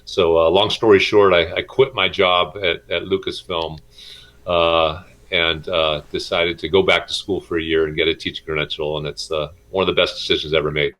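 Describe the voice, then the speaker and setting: A middle-aged man, a schoolteacher, answering interview questions informally in a halting, hesitant way with many pauses. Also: deep voice, little pitch movement, fairly bright tone. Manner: halting, hesitant delivery with frequent pauses and repeats. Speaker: middle school math and science teacher.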